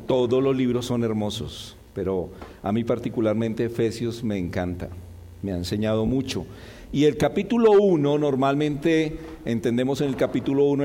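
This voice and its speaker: Slightly hoarse preacher in a reverberant hall. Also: male voice, on the high side, mellow. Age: middle-aged. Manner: didactic, repeats and lists key points in measured phrases. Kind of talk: expository Bible sermon. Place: large church hall.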